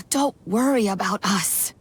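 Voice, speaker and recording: A woman playing a patient, wise old granny in a video game, with a husky voice and gently advising manner. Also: mid-range voice, slow pace. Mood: gentle, resigned.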